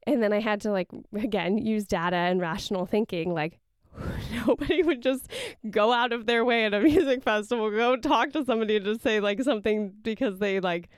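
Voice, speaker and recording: A woman's voice: mid-range voice, fairly quick, clear, resonant tone, dry recording, clean studio sound. A woman co-hosting a podcast, talking reflectively and giggling, with lots of filler "like"s. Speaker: podcast co-host. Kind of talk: casual chat.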